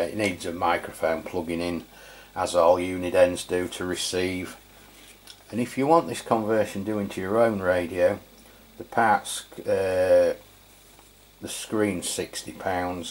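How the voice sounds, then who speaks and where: A man's voice: deep voice, fairly even intonation, unhurried, a little hiss or hum. A man giving an informal, matter-of-fact product review as a channel host.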